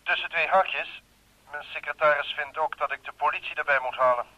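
Bright-voiced, worried gentleman. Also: fairly high pitch, brisk, no echo, poor audio. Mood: anxious, polite. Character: worried, well-mannered male client of a detective. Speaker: actor. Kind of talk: radio drama acting.

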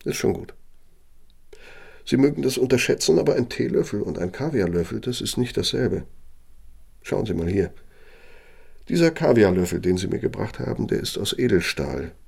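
An actor in a radio play voicing a pompous, bitter older architect with a pedantic, condescending way of talking and a gravelly, husky voice. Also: male voice, fairly low pitch, lively intonation, moderate pace, long pauses, darkish tone, exaggerated voice. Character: pompous, bitter old architect dictating private reckonings. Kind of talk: radio play monologue and dialogue.

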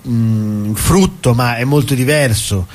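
A man's voice: mid-range voice, takes it slowly, darkish tone. A man talking as a radio guest, explaining things in a chatty, discursive way. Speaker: stage actor, radio guest. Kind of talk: interview, casual explaining of a show.

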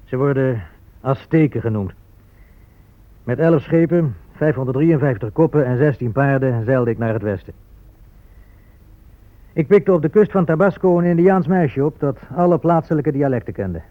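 An actor playing a self-satisfied, gold-hungry Spanish conquistador in a radio drama, speaking in a blunt, matter-of-fact way. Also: male voice, mid-range voice, animated, normal speed, natural voice. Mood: matter-of-fact, boastful. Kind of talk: radio drama monologue and dialogue. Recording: muffled phone-like old radio play.

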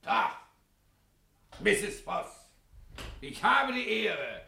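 A man's voice: high voice, sing-song, very slow, sharp tone, roomy sound, a little hiss or hum, full character voice. A man playing a disguised husband as a courtly old sea captain in a radio drama, hesitant and ceremonious. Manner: hesitant, ceremonious, salty seafarer's address. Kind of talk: 1960s German radio drama acting.

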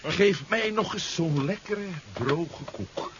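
A man's voice: fairly high pitch, animated, slow. A man acting a dry-humoured, inquisitive former astronaut in a 1970s radio drama. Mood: relaxed. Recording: muffled 1970s radio recording, faint hiss.

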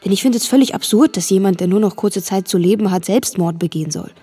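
An actress playing a nervous, watchful girl, narrating a radio drama in measured present tense with a husky voice. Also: mid-range voice, warm tone, soft background music, natural voice. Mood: watchful, uneasy.

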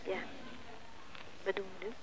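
A woman's voice: moderate pace, noisy background, natural voice. A woman playing an eager, obliging confidante on the telephone in a radio play, questioning and businesslike. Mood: concerned.

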